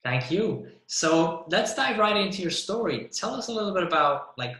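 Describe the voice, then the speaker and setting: A male podcast host with a bright, pointed voice. He asks long, eager questions that wander before they land. His audio carries a noticeable room echo. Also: high voice, very animated, normal speed. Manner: enthusiastic, rambling questions. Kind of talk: interview hosting.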